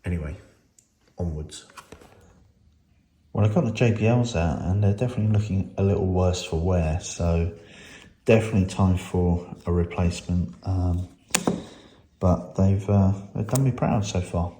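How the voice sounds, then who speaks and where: A man's voice: sits low, moderate pace, pauses often, mellow. A man giving a casual hands-on product demonstration as a reviewer, unscripted and thinking aloud with some hesitation. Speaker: motorcycle gear reviewer and host. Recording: roomy echo with faint noise.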